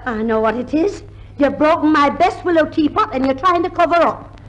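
Scolding, suspicious sister for vintage radio comedy; high, blunt delivery. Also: female voice, lively intonation, normal speed, dull audio. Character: sharp-tongued, suspicious older sister, Minnie. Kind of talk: 1950s radio comedy acting.

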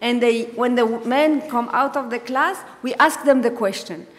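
Halting woman speaker in a reverberant hall. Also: fairly high pitch. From Egypt. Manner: halting, many fillers and restarts. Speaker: artist giving a symposium talk. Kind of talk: unscripted lecture with slides. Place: dark auditorium, speaking at a podium. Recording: long hall reverb, speaker far from mic.

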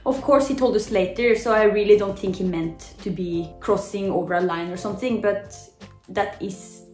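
A young woman with a Norwegian accent tells a story to her camera from a living room. Her pitch sits at a middling level, and her talk is loose and chatty, full of fillers and small laughs. The room gives her voice a noticeable echo, with faint music underneath. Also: moderate pace, darkish tone. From Norway. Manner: chatty, laughing often, many "you know" fillers. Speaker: vlogger.

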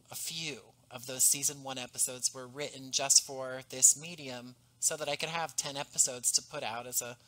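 A man in his thirties talks through a headset microphone on a video call. He sounds thoughtful and earnest, choosing his words with care. His voice has a bright, forward edge. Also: medium pitch, poor audio. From US East Coast. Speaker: podcast creator and guest. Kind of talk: reflective conversational interview. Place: home room, on a video call. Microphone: headset boom mic.

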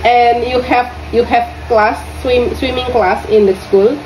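A woman from Indonesia with an Indonesian accent teaching English to young students in an online lesson. She speaks haltingly, repeats her questions and fills her pauses with "uh". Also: fairly high pitch, fairly even intonation, darkish tone. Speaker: English tutor. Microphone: lesson audio recorded on a phone. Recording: roomy phone recording with faint noise.

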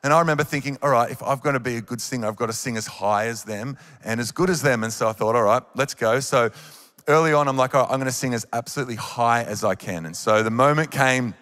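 A man's voice: medium pitch, fast, a bit bright. Australian man preaching in a reverberant hall. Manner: earnest, encouraging preaching. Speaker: worship leader preaching. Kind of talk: sermon. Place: large church auditorium on stage. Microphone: handheld microphone.

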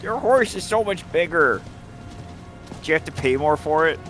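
A man's voice: on the high side, expressive, normal speed, a bit bright, faint music behind. A man chatting casually during a co-op video game, playful and jokey. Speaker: gamer playing co-op. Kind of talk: casual gaming chat.

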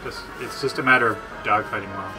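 A man's voice: fairly low pitch, fairly even intonation, fast, over loud music, rough recording. A man commenting casually on a video game with friends, dry and full of references. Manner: dry, reference-heavy joking. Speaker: let's play commentator. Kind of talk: casual gaming banter.

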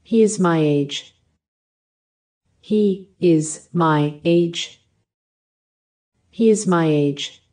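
A woman reading short English phrases for a language lesson, each one repeated with careful, even enunciation. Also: fairly low pitch, extremely slow, pauses often. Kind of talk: slow repeated phrase drills.

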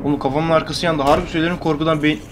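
A man's voice: a little high, little pitch movement, very fast, over music. A young man from Turkey giving casual, slangy, joking reaction commentary as a video host. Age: young adult. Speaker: YouTube host reacting to a horror cartoon. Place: bedroom at home.

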